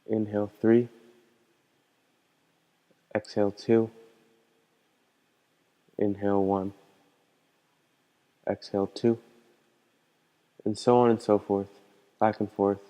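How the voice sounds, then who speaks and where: Male meditation guide: mellow, smooth delivery, calm and gentle instruction with unhurried pauses. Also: fairly low pitch. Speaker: meditation teacher. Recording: long hall-like reverb.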